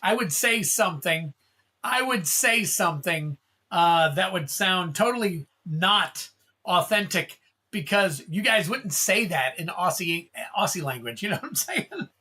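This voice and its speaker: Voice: medium pitch, lively intonation, unhurried, bright, forceful tone, slightly rough. A livestream host opening card packs, chatting casually, calling out card names and thanking viewers in a rambling way.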